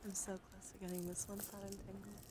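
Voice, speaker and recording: A woman live-streaming ASMR, soothing and reassuring, moving between soft roleplay and casual chat with her viewers. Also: medium pitch, flat delivery, a little fast, noisy background.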